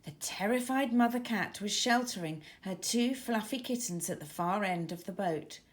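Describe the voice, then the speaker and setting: A woman narrating a scripted children's story in a dramatic, expressive manner. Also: medium pitch, normal speed. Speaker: author narrator.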